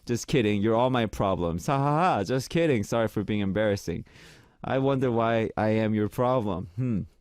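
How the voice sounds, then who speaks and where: A young man from Korea, speaking English as a second language with a deep voice, hosting a casual radio show and chatting about listener messages. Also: normal speed, clear, resonant tone, dry recording. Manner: relaxed, chatty, reads listener messages aloud.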